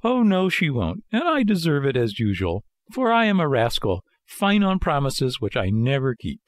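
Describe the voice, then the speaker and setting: A man's voice: mid-range voice, huge pitch swings, moderate pace, no room sound, studio quality, voice pushed up. A man voicing an impatient, dramatic wooden marionette boy from a storybook, pleading and exclaiming. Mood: anxious, remorseful. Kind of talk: storybook character voice.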